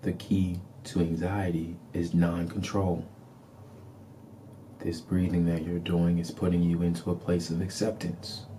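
A man leading a guided meditation in short repeated phrases, with a calm, deliberate, soothing way of instructing. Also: low-pitched, a little hiss or hum.

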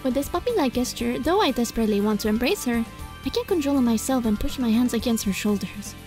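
A woman reading a visual novel aloud as a let's play, dramatic and playful, in a giggly, flustered, excitable manner. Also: fairly high pitch, fast. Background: music.

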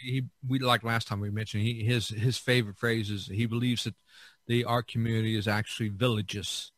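A man's voice: on the low side, a little fast, no echo. A middle-aged man telling stories casually as a podcast host, rambling and often repeating himself. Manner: rambling, repeats himself, animated when quoting others. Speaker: podcast host, visual artist. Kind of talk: casual storytelling in conversation.

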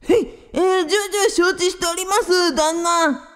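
A woman's voice: very high voice, steady tone, moderate pace, voice pushed up. Woman playing a stammering, servile lion underling. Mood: nervous, servile. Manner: stammering, obsequious. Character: servile, nervous male lion performer at a safari. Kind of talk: comic rakugo storytelling.

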